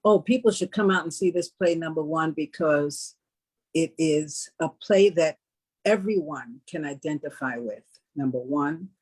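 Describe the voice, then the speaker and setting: An older woman speaking as an interviewed actress in a casual panel conversation, laying out her points in a measured, affirming way. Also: low voice, a little mellow, breathy edge. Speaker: stage actress interviewed about a play.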